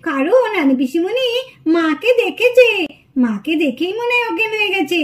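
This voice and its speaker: A very high-pitched village child played by a woman in a puppet cartoon. The child is inquisitive and anxious, calling to elders with pleading questions. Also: sing-song, moderate pace. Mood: puzzled.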